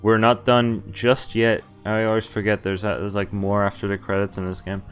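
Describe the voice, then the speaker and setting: A man's voice: lowish voice, level tone, clear, resonant tone. Casual male game streamer over music. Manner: informal, halting, thinking out loud. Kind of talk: casual playthrough commentary, reading game text aloud. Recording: music under the voice.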